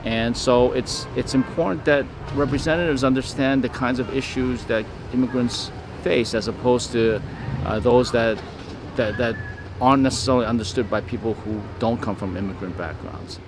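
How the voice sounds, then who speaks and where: A man explaining his views in a measured, explanatory way during an interview. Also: mid-range voice, noisy background. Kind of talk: interview commentary.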